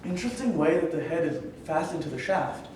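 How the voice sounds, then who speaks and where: A man's voice: a little high, expressive, a little fast, a little mellow, a little hiss or hum, close to an everyday voice. A man in a staged reading playing a careful, analytical young archaeologist who leads a team. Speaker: actor. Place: echoey room at a staged reading. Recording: very echoey room, distant mic.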